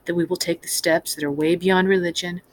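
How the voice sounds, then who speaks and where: A woman's voice: fairly low pitch, steady tone, a little fast. A woman speaking off the cuff as a spiritual teacher, earnest and emphatic, building up her points in repeated phrases. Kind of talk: impromptu spiritual talk. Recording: clear background noise.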